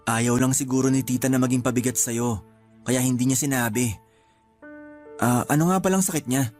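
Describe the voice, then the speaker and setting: An actor playing a caring, apologetic friend who sees spirits, in a Filipino radio drama, gentle and hesitant in manner. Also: male voice, medium pitch, normal speed, lightly acted. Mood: sympathetic. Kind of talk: Tagalog radio drama acting. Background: background music.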